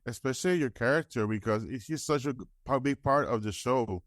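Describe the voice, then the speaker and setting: A man's voice: mid-range voice, brisk, no room sound, clean studio sound. A man interviewing in a casual, fan-like way, stumbling through rambling questions with false starts.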